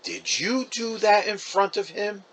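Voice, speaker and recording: A man's voice: high voice, expressive, measured pace, a bit bright, voice pushed up. A man voicing a disappointed, scolding mother of two young boys in a storybook, stern and earnest.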